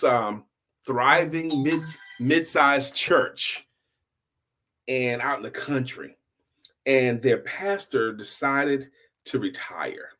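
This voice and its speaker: A man's voice: mid-range voice, fairly bright tone. A man hosting an informal story time for adults, telling his tale conversationally and repeating phrases for emphasis. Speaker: host, storyteller.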